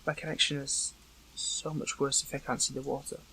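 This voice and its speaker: A man playing an earnest, hurt captive superhero in an audio roleplay, speaking in halting, pleading phrases. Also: medium pitch, level tone, bright, forceful tone, slight background noise, low-quality recording. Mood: relieved, wry. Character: captive male superhero, earnest, hurt, questioning an old friend. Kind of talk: dramatic audio roleplay acting, one side of a dialogue.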